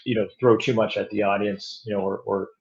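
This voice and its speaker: A man speaks as a guest in a casual interview. His voice is on the low side and he talks in a halting, thoughtful way, often rewording himself. The audio is a bit dull and muffled. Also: little pitch movement, a little mellow. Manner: halting, thoughtful, self-correcting. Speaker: audio drama producer and director, interview guest.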